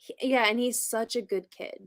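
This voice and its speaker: A woman's voice: fairly high pitch, fairly even intonation, normal speed, a bit bright, no room sound, studio quality. A woman chatting casually in a giggly manner, peppering her talk with "like". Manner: giggly, frequent laughing, heavy use of "like". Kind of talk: casual chat.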